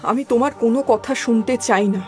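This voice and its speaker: A woman's voice: fairly high pitch, fairly quick, a little mellow, faint music behind, lightly acted. A woman voicing a stubborn, demanding young wife in a cartoon story. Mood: angry, insistent.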